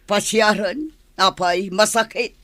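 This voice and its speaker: A woman's voice: medium pitch, takes it slowly, pushed and bright, no room sound. An actress playing a blunt, fussy older village mother-in-law in a radio drama.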